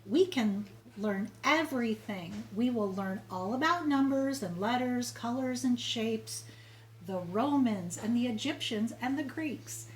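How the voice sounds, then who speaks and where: A woman voicing a proud, earnest, excitable male school principal in an emphatic children's storybook read-aloud. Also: on the high side, unhurried, slight background noise, low-quality recording. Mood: proud, enthusiastic. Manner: emphatic, repetitive, exclaiming.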